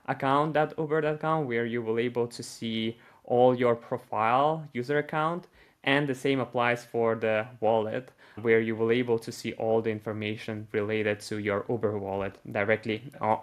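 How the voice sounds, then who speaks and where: A man hosting an online panel in a mid-pitched voice. He sounds upbeat and welcoming, and he keeps the session moving along. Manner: upbeat, repeats short praise. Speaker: host.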